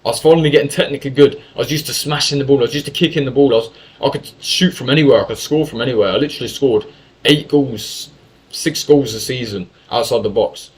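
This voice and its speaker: A man's voice: medium pitch, fast talker, poor audio. A young man from England telling a story in a casual monologue, talkative and full of swearing and self-corrections. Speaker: YouTuber.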